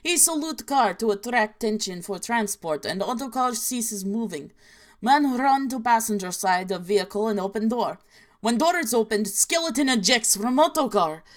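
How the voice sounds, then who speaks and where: A woman reading parody horror stories in an inaccurate mock Russian accent, dropping articles in clipped broken grammar as a deadpan narrator. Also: fairly high pitch, close to an everyday voice.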